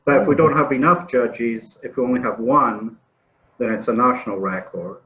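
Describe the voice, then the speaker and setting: A man's voice: medium pitch, moderate pace, fairly bright tone, low-quality recording. A middle-aged man from Scotland with a Scottish accent, a podcast guest talking about strongman competitions in a modest, matter-of-fact way. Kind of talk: casual chat.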